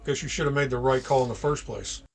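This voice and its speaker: A man's voice: medium pitch, fast, creaky, a crowd in the background. A man giving casual, slangy, wry commentary as a movie reaction host. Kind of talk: casual off-the-cuff film commentary. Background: movie soundtrack music.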